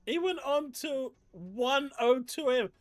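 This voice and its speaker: A man's voice: very high-pitched, expressive, unhurried, no room sound, faint music behind. A man with an English accent hosting a casual live stream from his desk at home, reading chat and ranting in disbelief. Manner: exasperated, ranting, incredulous.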